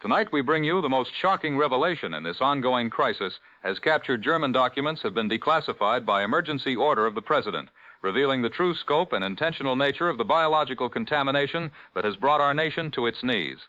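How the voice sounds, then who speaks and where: A man's voice: mid-range voice, lively intonation, fast talker, pushed and bright, no room sound. A man playing a grave 1940s radio news anchor with a formal, dramatic announcer delivery. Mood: grave, urgent. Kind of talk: 1940s radio newscast acting. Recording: dull lo-fi vintage radio broadcast.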